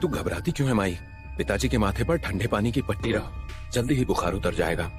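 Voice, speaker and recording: A man's voice: fairly low pitch, lively intonation, fairly quick, natural voice. A man voicing a young village son who firmly reassures his mother. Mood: reassuring. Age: young adult. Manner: reassuring, firm. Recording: clear music bed underneath.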